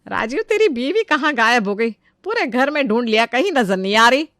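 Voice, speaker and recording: A woman's voice: fairly high pitch, big pitch swings, normal speed, a bit bright, no echo, clean studio sound. An actress playing an exasperated middle-aged mother-in-law, sarcastic and nagging, in a comic family story. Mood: annoyed, searching. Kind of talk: animated family comedy story acting.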